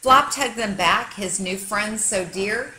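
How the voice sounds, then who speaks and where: A woman reading a children's picture book aloud like a teacher, with expressive, dramatic storytelling for young listeners. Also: mid-range voice, slow pace, slight background noise, rough recording.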